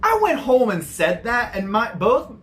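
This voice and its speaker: A man's voice: very high voice, wildly swooping pitch, unhurried, a bit bright, a little hiss or hum. Chatty, rambling man reviewing soda. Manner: chatty, rambling, mild swearing. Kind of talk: casual product review.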